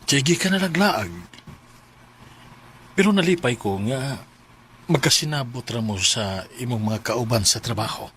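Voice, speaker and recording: An actor playing a wounded, stern betrayed husband with dramatic, reproachful radio drama delivery. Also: male voice, medium pitch, lively intonation, measured pace, long pauses, a bit bright, a little hiss or hum. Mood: suspicious, restrained.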